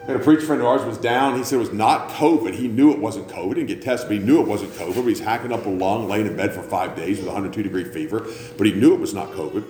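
A man's voice: lowish voice, fast, few pauses, darkish tone, a little hiss or hum. A man preaching a sermon to a church congregation in a conversational, self-mocking, teasing manner. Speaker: pastor. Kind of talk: sermon with joking asides. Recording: roomy hall with faint ambience.

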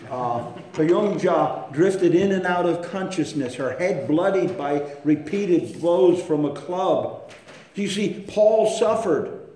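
A man preaching a sermon, swinging between hushed storytelling and loud, impassioned emphasis. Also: a little high. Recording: echoey room with faint noise.

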